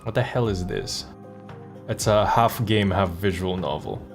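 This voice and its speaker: A man with a low voice and plenty of vocal fry, streaming live. He rambles casually in a wry, slangy way over faint music. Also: a little slow. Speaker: streamer and voice actor.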